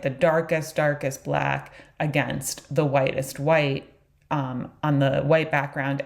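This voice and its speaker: A woman's voice: deep voice, darkish tone. A woman with a New York-area accent teaching a beginner art lesson in a chatty, casual way, full of fillers and asides. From New York area, USA. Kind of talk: casual online course lecture.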